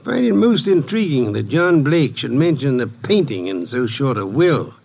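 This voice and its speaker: An actor playing a fussy, pompous gentleman detective in a theatrical 1950s radio mystery. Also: mid-range voice, big pitch swings, normal speed, creaky, husky, full character voice. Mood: musing. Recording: muffled vintage radio recording.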